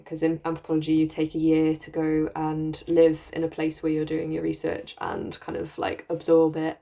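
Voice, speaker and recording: A woman's voice: low-pitched, moderate pace, creaky, warm tone. A young woman from Wales with a Welsh accent, chatting on a podcast about her research with lots of ums and likes. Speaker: podcast co-host, PhD researcher. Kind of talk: casual chat.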